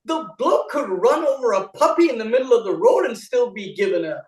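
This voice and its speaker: A man born in India who grew up in Australia, speaking with an Australian accent as co-host of a casual chat, animated and teasing. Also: very high voice, brisk, roomy sound, distant mic. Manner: animated, teasing, repeats words when restarting.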